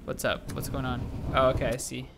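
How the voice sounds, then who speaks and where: A man's voice: medium pitch, fairly quick, vocal fry, noisy background. A young man on a headset giving casual, jokey commentary while playing a shooter, talking back to the game. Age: young adult. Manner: excitable, jokey, talks to the game. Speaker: gaming streamer. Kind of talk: casual let's-play commentary. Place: small room at a desk.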